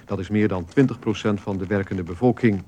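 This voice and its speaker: Man as a low-voiced, formal newsreader. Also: dark tone, a little hiss or hum, close to an everyday voice. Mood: sober, formal. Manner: formal, measured. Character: sober, formal male radio newsreader. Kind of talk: scripted news reading in a radio play.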